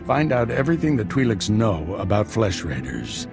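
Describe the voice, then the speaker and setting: A seasoned Jedi master from a video game. His voice is low with an animated melody, yet he stays calm, frank and measured as he mentors. Music plays clearly behind him.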